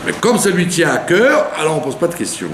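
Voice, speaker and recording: Man, rabbi, sermon, fairly high voice, lively intonation, echoey room. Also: brisk. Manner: animated teaching, with rhetorical questions and frequent "d'accord?". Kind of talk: Torah lesson sermon. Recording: echoey room with faint hum.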